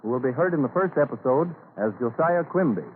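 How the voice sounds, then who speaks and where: A man's voice: medium pitch, animated, a little fast. Tinny vintage radio, formal male announcer. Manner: formal, declamatory. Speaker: program announcer. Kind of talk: 1930s radio announcing, scripted. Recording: tinny, noisy old radio recording.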